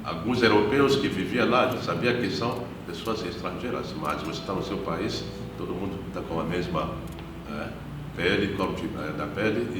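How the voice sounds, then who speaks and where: A man, a missionary priest giving a measured, reflective personal testimony in an interview. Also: deep voice, noisy background. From Democratic Republic of the Congo. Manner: measured, reflective, unhurried storytelling. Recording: echoey room, distant mic, guitar music behind.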